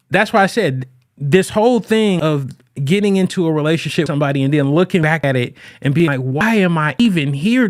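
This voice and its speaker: A man hosting a podcast, talking casually as a relationship coach, animated and often restarting his phrases. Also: a little high, dry recording, studio quality. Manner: animated, quotes people in raised voices. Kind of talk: casual monologue.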